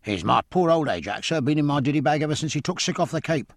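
A man's voice: on the high side, lively intonation, fast talker, no echo, clean studio sound, pitched above the performer's own voice. A man voicing an eager, pleading male quarter gunner who gabbles his claims.

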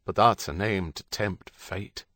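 Man voicing a wary, low-key young Irish husband. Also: unhurried, pushed and bright, dry recording, studio quality. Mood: skeptical.

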